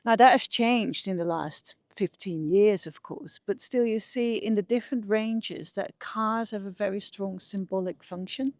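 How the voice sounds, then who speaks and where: A woman's voice: mid-range voice, a little slow, hardly any pauses, darkish tone, dry recording. Middle-aged Dutch woman, dry close recording. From Netherlands. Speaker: interview guest, behavioural scientist. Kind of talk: conversational interview answers.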